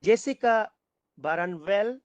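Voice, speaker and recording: Panel moderator reading out questions, kinda halting, repeats stuff, mid pitch, dry close sound. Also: animated, no room sound. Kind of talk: reading audience questions aloud in a live panel.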